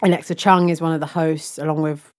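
A woman's voice: low-pitched, steady tone, brisk. A woman co-hosting a podcast in casual, chatty conversation, talking informally with plenty of slang. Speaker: podcast co-host. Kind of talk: casual chat.

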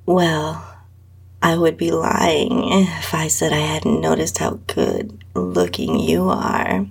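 A woman narrating intimate erotic fiction in a sultry, lingering, breathy voice that often drops to a whisper. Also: deep voice, big pitch swings, slow. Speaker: podcast host reading own story. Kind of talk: slow, intimate erotica narration in the first person.

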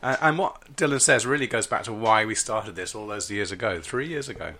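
A man in his fifties co-hosting a podcast, chatting casually and jokily with a husky voice after a late night. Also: fairly low pitch, brisk, pushed and bright, a little hiss or hum. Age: 50s. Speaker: podcast co-host. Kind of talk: casual chat. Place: garden summer house.

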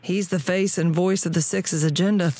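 A woman's voice: on the low side, fairly even intonation, normal speed, dark tone, natural voice. A woman playing a world-weary, plain-spoken radio tinkerer with a folksy frontier manner in an audio drama. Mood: knowing, practical.

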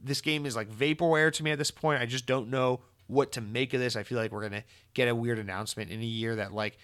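A young man hosting a gaming podcast, talking in a casual, opinionated way, his voice hoarse and tired, blunt and swearing freely. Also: medium pitch, fairly even intonation, quick pace, fairly bright tone.

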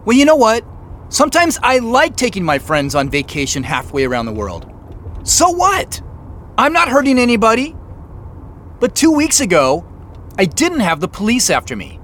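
A man's voice: very high-pitched, huge pitch swings, moderate pace, a bit bright, a little hiss or hum, full character voice. A man acting in an audio drama as a stressed, suspicious, sarcastic man on the run. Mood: agitated. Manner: sarcastic, agitated. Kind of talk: audio drama acting with first-person narration.

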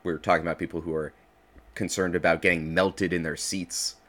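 A man with a very deep voice talks as a guest on a casual show. His delivery is informal and halting, as if thinking out loud. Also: flat delivery. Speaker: podcast creator, interview guest. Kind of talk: casual chat.

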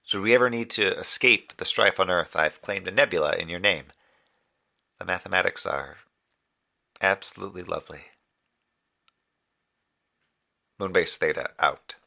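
Deep, gravelly moonbase officer. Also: male voice, moderate pace, sharp tone, heavily acted. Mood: tender. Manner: precise, formal, lyrical, somewhat fussy. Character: earnest, formal male moonbase communications officer, quietly wistful. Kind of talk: audio drama monologue as a transmitted report.

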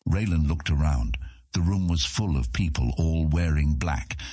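A man's voice: rumbling low, measured pace, darkish tone, husky, no echo, studio quality. A man narrating a scripted fantasy audiobook in a dramatic storytelling style.

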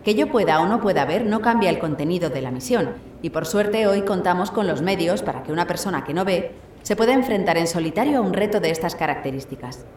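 A woman's voice: fairly low pitch, animated, fairly quick, a little mellow, lightly acted. A woman with an accent from Spain playing a modest, sincere astronaut captain at a press conference in an audio drama, speaking in a hesitant, conversational way. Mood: reflective, modest. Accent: Spanish from Spain. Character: overwhelmed, modest blind woman astronaut, pioneering mission captain. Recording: roomy echo, slight background noise.

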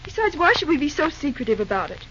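High-voiced coy young fiancée, old radio. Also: female voice, fast talker. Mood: flustered protest. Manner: genteel, coy, expressive. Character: sweet, teasing young bride-to-be, gently strong-willed. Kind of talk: old-time radio drama acting. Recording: dull, noisy vintage radio recording.

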